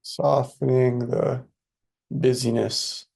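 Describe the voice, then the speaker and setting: A man leading a guided meditation in a soothing, unhurried way, with spare phrases and long pauses between them. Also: medium pitch, little pitch movement, vocal fry, a little mellow.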